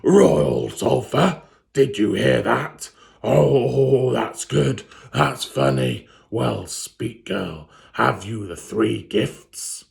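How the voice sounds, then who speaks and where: A man's voice: lowish voice, very slow, creaky, few pauses, fairly bright tone, exaggerated voice. A man voicing a huge, mocking male fire fiend in a storybook read-aloud, roaring and laughing with scorn. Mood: mocking laughter.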